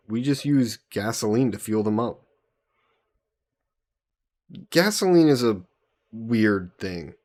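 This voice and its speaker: A man's voice: lowish voice, a little mellow. A man playing a friendly, patient human hero from Earth in a roleplay audio drama, explaining things conversationally to a listener.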